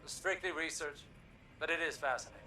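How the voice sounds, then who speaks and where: An actor playing an older scholarly warlock in a video game, grave and proud, with formal, measured, erudite speech. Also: male voice, a little high, close to an everyday voice, poor audio. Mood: dry, curious.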